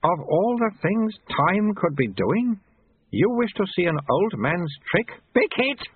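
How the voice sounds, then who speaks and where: Man doing a high, babyish but lordly abbot. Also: very animated, slow pace, husky, no room sound, phone-quality sound, heavily acted. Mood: lofty. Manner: solemn questioning broken by infant squeals. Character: reincarnated abbot, grave old leader with babyish squeaky outbursts.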